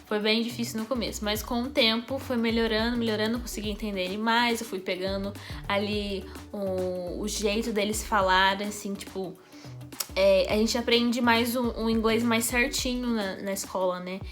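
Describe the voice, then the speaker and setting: A young woman from Brazil with a Brazilian Portuguese accent, telling a personal story in a chatty, animated vlog, laughing often. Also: mid-range voice, normal speed, faint music behind. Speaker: YouTuber.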